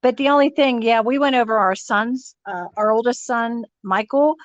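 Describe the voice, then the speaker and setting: A middle-aged woman chatting casually and amiably as a podcast guest, quick to giggle. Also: fairly high pitch, no room sound, dull audio. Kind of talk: casual chat.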